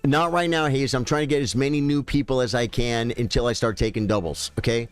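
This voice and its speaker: A man's voice: mid-range voice, steady tone, fairly quick, clean tone, soft background music. A man in his late fifties, a chess streamer chatting casually and folksily with his viewers. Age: 50s. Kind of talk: casual live-stream chat.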